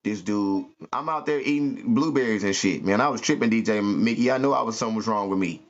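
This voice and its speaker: A man telling animated, slangy stories to his listeners. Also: on the low side, fast. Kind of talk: animated comic storytelling. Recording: muffled lo-fi recording.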